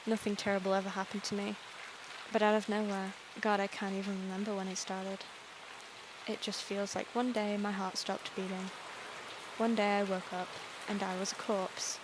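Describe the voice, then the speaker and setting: A woman voicing a depressed young princess while reading a visual novel aloud, moving from quiet, tired lines to loud outbursts. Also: mid-range voice, little pitch movement, normal speed, background noise, lightly acted. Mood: weary, hollow. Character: depressed young princess, hollow and self-loathing. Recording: music and rain behind the voice.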